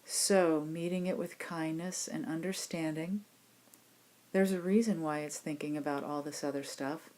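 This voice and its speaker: Female meditation teacher, lowish voice, gentle and reassuring, leading a guided relaxation practice. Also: steady tone. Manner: gentle, unhurried, reassuring instruction. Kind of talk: guided body scan meditation instruction.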